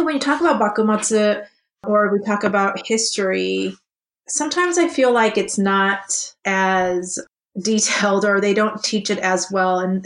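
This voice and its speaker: A woman who grew up in Japan, co-hosting a casual podcast chat and talking thoughtfully with many restarts and fillers. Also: mid-range voice. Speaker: co-host, program co-chair.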